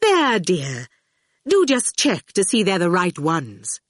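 A woman's voice: on the low side, huge pitch swings, slow pace, no echo, clean studio sound, heavily acted. A woman voicing a haughty, glamorous, ageless woman ruler in an audiobook, cool and sweetly condescending. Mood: gracious. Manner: pouting, cold then gracious. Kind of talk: audiobook character voice.

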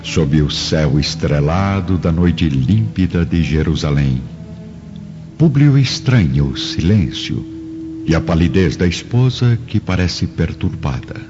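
A deep-voiced Brazilian man narrates a radio drama with wide, expressive intonation. The recording is dull, with clear music running beneath his scripted delivery. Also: measured pace.

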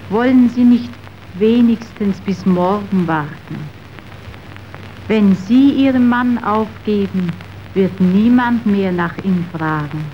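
An actress playing a compassionate, righteous young woman in a radio drama, declaiming solemnly and deliberately. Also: medium pitch, natural voice. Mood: gentle pleading. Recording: dull, noisy old radio recording.

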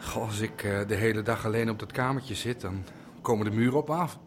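A man playing a lonely, awkward, downcast fellow in a radio drama, speaking hesitantly and haltingly. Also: on the low side, a little hiss or hum, natural voice. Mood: glum. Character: lonely, awkward, downcast man hanging around the red-light district. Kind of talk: naturalistic Dutch radio drama acting.

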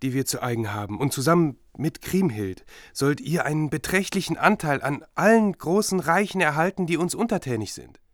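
A man's voice: a little high, animated, fairly quick, few pauses, dry recording, studio quality, natural voice. A man playing a young, generous king of legend in a radio play, formal and earnest.

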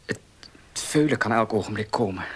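A proud young farmer in a radio play. His voice is husky and swings widely in pitch. He speaks plainly and with feeling, as someone earnest who owns little. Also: expressive, fairly quick, fairly bright tone. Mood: troubled, bitter. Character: earnest young horse-loving farmer, proud, short of money. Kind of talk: Dutch radio play acting.

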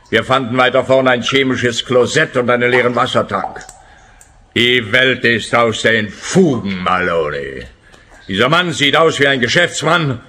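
A man's voice: mid-range voice, bright, forceful tone, a little hiss or hum, close to an everyday voice. An actor playing a sneering, pompous policeman in a radio play, lecturing with heavy sarcasm. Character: sneering, pompous policeman taunting a private detective. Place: radio drama studio.

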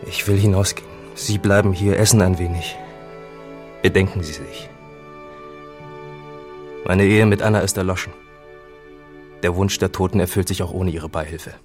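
An actor playing a solemn, brooding farmer in a literary radio drama, speaking gravely and deliberately. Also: male voice, sits low, steady tone, soft background music, natural voice. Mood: troubled, resolute.

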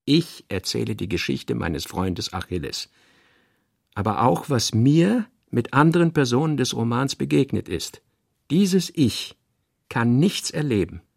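Brooding novelist narrator for a literary radio play: gravelly, rough-edged, deliberate, reading out working notes. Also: male voice, medium pitch, no echo, studio quality, heavily acted.

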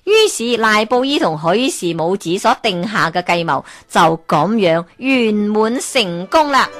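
Lively Hong Kong woman telling folk tales. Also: mid-range voice, measured pace, faint music behind. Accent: Hong Kong Cantonese. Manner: lively, asks listeners rhetorical questions. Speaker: storyteller. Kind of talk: Cantonese folk-tale storytelling.

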